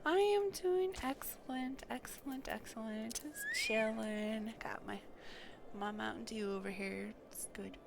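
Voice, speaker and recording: A woman's voice: fairly high pitch, a little slow, vocal fry, background noise. A woman live streaming a game, chatting casually and murmuring to herself as she plays. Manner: chatty, murmuring to self while playing.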